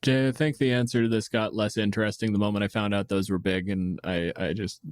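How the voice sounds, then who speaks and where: A man's voice: on the low side, steady tone, fairly quick, clean tone. A man co-hosting a podcast, chatting casually about tabletop games in a blunt, profane, joking way. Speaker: podcast host.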